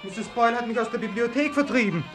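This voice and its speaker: An actor playing an odd, high-strung young man with an impish, conspiratorial manner in a 1950s German radio play. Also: quick pace, over music. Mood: aggrieved. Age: young adult.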